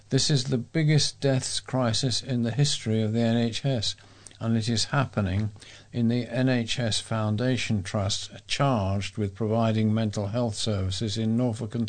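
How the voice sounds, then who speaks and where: A man reading local news items aloud as a volunteer newsreader. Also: mid-range voice. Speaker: volunteer newsreader for a talking newspaper.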